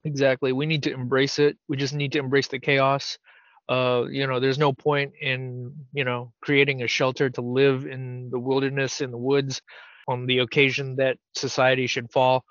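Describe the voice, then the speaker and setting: A man's voice: mid-range voice, a bit bright, dry recording, highs cut off. A man co-hosting a casual podcast, rambling and teasing his co-host, often breaking into laughter.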